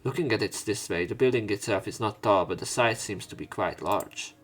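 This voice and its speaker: A man's voice: on the low side, a little fast, breathy edge. A man playing through a visual novel, reading its narration aloud and adding casual, informal commentary of his own. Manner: informal, swearing in reactions. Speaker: let's player.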